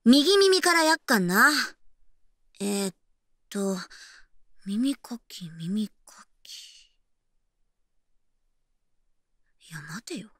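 An actress playing a brash, teasing delinquent high school girl in an ASMR voice drama, with husky, breathy, rough tomboyish speech. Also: sing-song, takes it slowly, pauses often, pushed and bright, no room sound, full character voice. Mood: quiet, musing. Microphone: close-up ASMR microphone.

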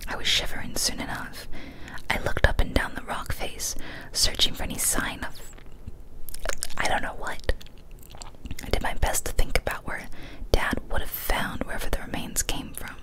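A young woman in a breathy, unvoiced whisper reads a horror story in ASMR style, hushed and deliberate, close to the microphone. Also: very high-pitched, rough recording. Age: young adult. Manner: hushed, deliberate, short phrases with pauses. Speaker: ASMR storyteller, channel host. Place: small indoor room, decorated home studio. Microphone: large studio microphone, very close.

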